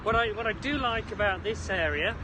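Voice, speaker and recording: High-pitched chirpy British man, slightly breathless. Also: a little fast. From Isle of Man. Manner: chirpy, chatty, jokey, quaint British slang. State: slightly out of breath from brisk walking. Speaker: vlog host. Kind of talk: rambling walking vlog to camera. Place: outdoors along a city creek. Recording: noisy outdoor recording, city traffic ambience.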